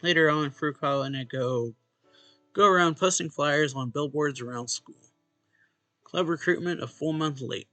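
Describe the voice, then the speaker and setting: A man's voice: fairly high pitch, moderate pace, pushed and bright, no echo. A man reading a visual novel's narration and dialogue aloud expressively, as a let's play host. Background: game music.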